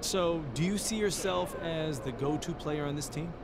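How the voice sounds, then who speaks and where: A man playing a polite sports reporter in a game cutscene, asking measured, professional questions over urban noise. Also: a little high, close to an everyday voice. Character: polite male sports reporter interviewing a player. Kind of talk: video game cutscene acting.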